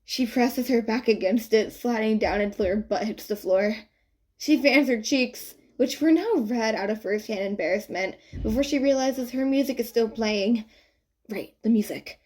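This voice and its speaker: A woman narrating a written story in an expressive, informal and wry way. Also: a little high, normal speed. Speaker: fan fiction narrator.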